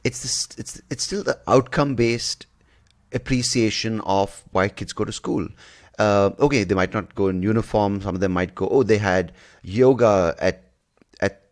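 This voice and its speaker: Deep-voiced man, halting and restarting. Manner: halting, with many restarts and fillers, pitch jumping up when emphatic. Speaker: podcast host. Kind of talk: casual podcast conversation.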